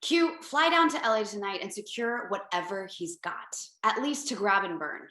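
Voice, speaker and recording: A woman's voice: medium pitch, lively intonation, moderate pace, pushed and bright. A woman playing a brash, self-absorbed company boss in a comedic table read, breezy, bossy and profane. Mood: demanding. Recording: roomy home video-call audio.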